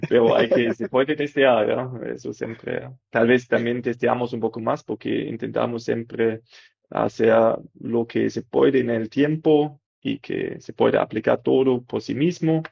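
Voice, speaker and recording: Gravelly man explaining in halting Spanish. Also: mid-range voice, steady tone, darkish tone, no room sound, muffled recording. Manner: halting non-native Spanish, many fillers, tag questions. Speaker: dental technician and product developer. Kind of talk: casual technical explanation.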